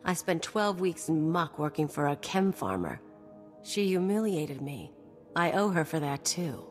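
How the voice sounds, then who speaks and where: A brash female mercenary from a video game, talking in a lowish register. She is sardonic, scheming and quick to hold a grudge. Long reverb trails her voice and music plays behind. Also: animated. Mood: cocky. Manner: sardonic, cocky, slangy.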